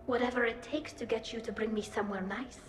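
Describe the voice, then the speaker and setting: A woman's voice: fairly high pitch, steady tone, fast, slight background noise, lightly acted. A woman voicing a friendly, teasing young female alien companion with a lilting delivery in a video game. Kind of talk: video game cutscene dialogue. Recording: roomy echo around voice.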